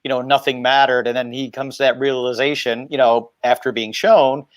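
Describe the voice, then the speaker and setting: A man's voice: medium pitch, flat delivery, moderate pace, no echo. A middle-aged man talking reflectively as a panelist on a video call, wearing wired earphones. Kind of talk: reflective conversational talk. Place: dining room at home, video call.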